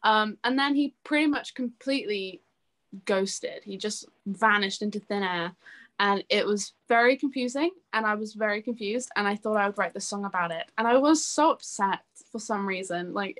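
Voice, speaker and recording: A woman's voice: medium pitch, normal speed, fairly bright tone. A woman in her twenties chatting casually in an interview, effusive and self-deprecating, with a giggly way of talking. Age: 20s. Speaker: singer-songwriter being interviewed.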